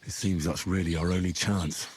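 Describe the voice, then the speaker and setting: A man's voice: sits low, steady tone, normal speed, lightly acted. A man playing a practical, plain-spoken young commoner adventurer in a video game, direct and down-to-earth. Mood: matter-of-fact.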